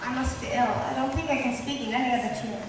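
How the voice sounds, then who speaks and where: A woman's voice: high voice, fairly even intonation, fast, natural voice. A young woman playing an ailing, grumpy and suspicious lady in an amateur Shakespeare stage comedy. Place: amateur stage performance in a reverberant room. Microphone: distant recorder. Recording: distant, echoey hall with clear noise.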